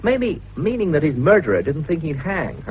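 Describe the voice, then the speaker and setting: Young British copper, 1950s radio drama: plain-spoken, keen, rough husky voice, wildly varied intonation, dull sound. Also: male voice, a little high, very animated, moderate pace, heavily acted. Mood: thoughtful. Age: young adult. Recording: dull vintage radio recording.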